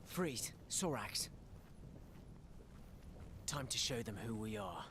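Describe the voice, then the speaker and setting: A man's voice: medium pitch, lively intonation, slow, noisy background. A man playing a stern, impatient adventuring warrior in a video game, with terse, dramatic delivery. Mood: stern, menacing. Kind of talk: video game cutscene acting.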